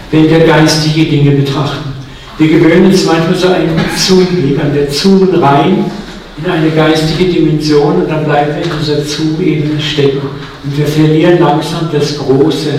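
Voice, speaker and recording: A man's voice: on the high side, a little mellow, a little hiss or hum. Echoey sixties male preacher in a hall. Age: 60s. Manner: casual, personal, humorous preaching. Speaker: guest preacher. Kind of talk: sermon. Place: church hall. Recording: echoey hall, mic from far off.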